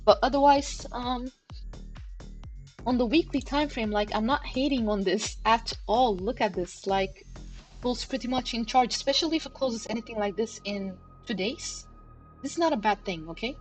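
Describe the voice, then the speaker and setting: A woman with a slightly high voice talks a live audience through price charts in a loose, chatty way. She leans on fillers and checks in with her listeners often. Faint music plays under her stream. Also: normal speed. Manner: chatty, many fillers, addresses chat directly. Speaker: crypto chart analysis livestream host. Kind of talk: casual live market commentary. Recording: livestream with faint background music. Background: faint background music.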